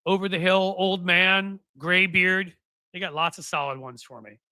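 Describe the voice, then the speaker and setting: A man's voice: high-pitched, fairly even intonation, normal speed, sharp tone, clear, resonant tone, dry recording. A man in his fifties hosting a political livestream, talking casually to viewers in a rambling way with wry asides. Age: 50s. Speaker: political podcast host.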